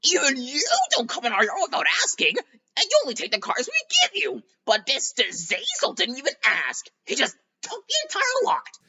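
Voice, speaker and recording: Two tiny tank engine twins chatter in high, bright, slightly breathy voices. They are cheeky and quarrelsome, and their pitch leaps around constantly. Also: male voice, wildly swooping pitch, muffled recording, heavily acted. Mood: protesting. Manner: squeaky, mischievous, quick to argue.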